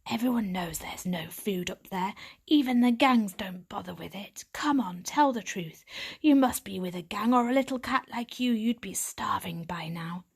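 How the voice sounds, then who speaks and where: A hard-bitten female street cat, put on by a woman reading a storybook aloud, growls her questions in a low, gravelly whisper. She sounds curt and scornful, all suspicion and no patience. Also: normal speed. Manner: gruff, curt, snapping questions.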